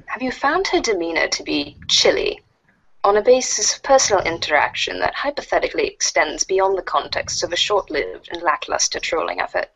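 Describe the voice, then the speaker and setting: A woman plays a curious alien troll girl, puzzled by humans. The voice is slightly rough yet lively in pitch, and the phrasing is formal, wordy and stilted, as read in an amateur webcomic drama. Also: a little fast, full character voice, low-quality recording. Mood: prim. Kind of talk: amateur reader's theater of a webcomic.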